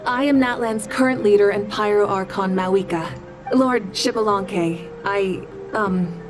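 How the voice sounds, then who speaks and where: A woman playing a composed, courteous warrior leader in a video game, speaking in a polite, measured, sincere way. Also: mid-range voice, close to an everyday voice. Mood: awkward, polite. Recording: music behind the voice.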